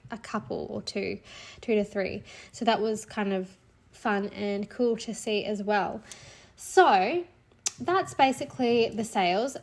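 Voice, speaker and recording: A woman in her thirties talks through her sales figures in a relaxed, chatty way, sprinkling in "like" and "so". Her voice sits a little high and sounds a bit nasal from a cold. She keeps an upbeat tone and laughs lightly now and then. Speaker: clipart seller sharing a monthly income report. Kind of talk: casual screen-share walkthrough.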